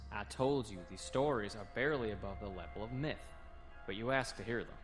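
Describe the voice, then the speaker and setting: Male actor as junior navy translator in audio comic. Lowish, respectful, guarded, a little defensive; light music bed. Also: brisk, natural voice. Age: young adult. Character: young male naval cadet translator, dutiful but defensive. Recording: faint music behind the voice.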